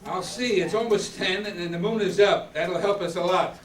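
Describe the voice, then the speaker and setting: A man's voice: high voice, normal speed, a crowd in the background, lightly acted. A man playing a brave, wry young boy in an old-time radio adventure. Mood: earnest, wry. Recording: roomy, distant mic, faint noise.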